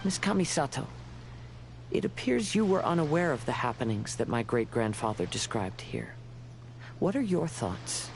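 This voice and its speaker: Game role: soft-spoken young samurai, earnest and formal, measured delivery, faint background noise. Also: male voice, mid-range voice, big pitch swings. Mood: polite. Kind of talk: scripted video game dialogue.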